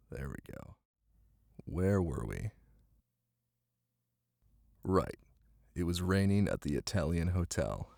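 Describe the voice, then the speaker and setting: A man playing a caring, playful boyfriend who reads tenderly in an intimate ASMR style, with a deep, gravelly voice. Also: warm tone, no echo, full character voice. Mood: cozy, teasing. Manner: tender, teasing, close and cozy. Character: caring, playful young boyfriend reading to his partner. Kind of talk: intimate ASMR roleplay and bedtime story reading. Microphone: close microphone. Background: rain ambience.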